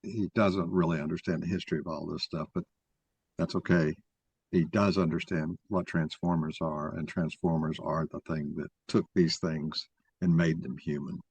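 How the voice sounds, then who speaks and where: A man's voice: very deep voice, steady tone, normal speed, darkish tone, slightly rough, no echo. A man giving an explanatory talk in an opinionated, didactic way, taking questions as he presents his argument.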